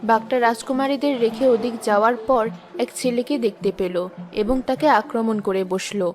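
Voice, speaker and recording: A woman's voice: a little high, a little fast, music in the background, close to an everyday voice. A woman giving scripted narration for a cartoon story.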